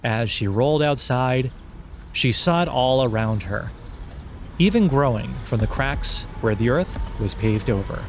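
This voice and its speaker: A man narrates dark, satirical fiction at a deliberate, measured pace of delivery. His voice sits at a medium pitch for a man. He leaves dramatic pauses between phrases, and music plays behind him. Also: expressive.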